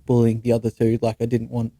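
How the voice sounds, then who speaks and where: A man's voice: medium pitch, completely flat delivery, fast, warm tone, no echo. A young Australian man co-hosting a podcast, telling stories in a casual, sweary and blunt way. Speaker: podcast co-host. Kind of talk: casual chat, anecdotes with mates.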